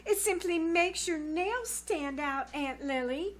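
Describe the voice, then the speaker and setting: A woman's voice: very high-pitched, expressive, slow, exaggerated voice. A woman playing a gushing, bossy teenage girl in a live 1940s-style radio comedy, with theatrical, emphatic delivery. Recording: live small-theater stage recording, background noise.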